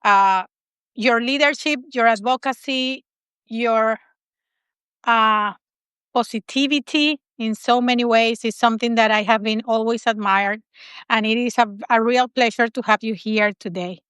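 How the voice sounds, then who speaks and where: Bright high-voiced woman host. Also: measured pace, clean tone, no room sound, studio quality. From Venezuela. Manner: enthusiastic, with many fillers and restarts. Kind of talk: live-stream interview.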